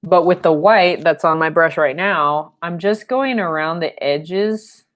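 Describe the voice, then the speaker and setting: A young woman with a notably low, deep-set voice talks at an unhurried pace while she paints. She rambles in a friendly, chatty way, full of fillers. She is heard through a camera mic a little way off in a room at home. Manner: rambling and chatty, with fillers and playful sound effects. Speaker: painting instructor. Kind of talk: live-stream painting lesson with chatty asides. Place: home studio room, indoors.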